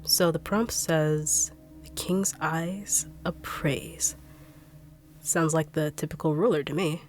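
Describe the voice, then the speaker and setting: Woman doing a low, blunt bodyguard, brusque and snarky, faint music behind. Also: fairly even intonation, slow. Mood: condescending. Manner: brusque, sardonic, mocking. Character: blunt, sharp-tongued woman bodyguard turned betrayer. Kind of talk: audio roleplay drama.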